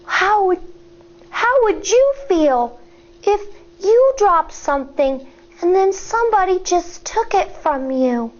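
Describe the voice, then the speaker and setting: A woman in her thirties, a teacher, reading a picture book aloud to children in an expressive storyteller manner, recorded in a studio on a lapel mic. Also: very high voice, slow, highs cut off. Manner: expressive, sing-song, storyteller. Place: indoor studio in front of a backdrop.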